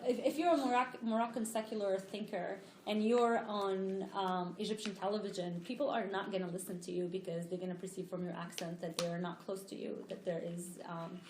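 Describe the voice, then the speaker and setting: A woman's voice: medium pitch, fairly even intonation, fairly quick, few pauses, darkish tone. A woman from Tunisia answering on a panel in an earnest, explanatory way, often restarting her sentences. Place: lecture room. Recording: echoey lecture room, faint noise.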